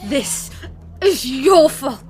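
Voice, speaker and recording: A woman playing a furious, tearful survivor in an audio drama, shouting and sobbing out drawn-out commands. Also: sits high, lively intonation, soft background music, full character voice. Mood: seething, tearful.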